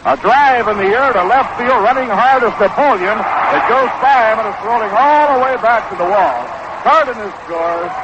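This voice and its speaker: A man calling baseball play-by-play on 1960s radio, measured and descriptive, on an old broadcast recording. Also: very high voice. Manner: measured, descriptive calls that quicken on action. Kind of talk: 1960s live radio sports commentary. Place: outdoor ballpark broadcast position. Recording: noisy, dull vintage 1960s radio broadcast.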